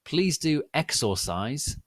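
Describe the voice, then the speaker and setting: Man voicing a high-voiced young gothic witch teacher. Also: very animated, slow pace, no room sound, clean studio sound, exaggerated voice. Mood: brisk. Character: young woman witch teacher, gothic, brisk and foul-mouthed.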